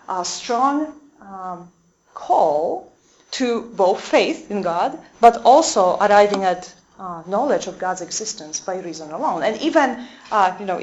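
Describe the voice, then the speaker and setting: A Dominican sister with a Polish accent giving a talk to a small audience in a convent room, hesitant with many fillers and restarts. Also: female voice, medium pitch, darkish tone, muffled recording. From Poland.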